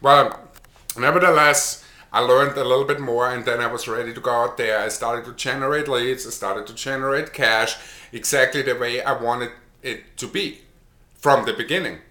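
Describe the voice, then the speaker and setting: A man's voice: medium pitch, steady tone, moderate pace, pushed and bright, reverberant. A middle-aged man with an Austrian accent, telling his own story casually to the camera, with frequent restarts and fillers. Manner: chatty, repeats words and restarts with many uh's. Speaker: online marketer.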